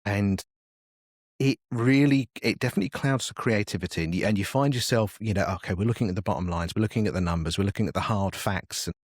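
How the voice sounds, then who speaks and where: A male podcast guest speaks in a fairly low voice, recorded dry and close. He chats casually, thinking out loud and wandering through examples as he explains himself. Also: quick pace, no echo. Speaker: podcast guest, podcast network manager. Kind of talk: casual interview conversation.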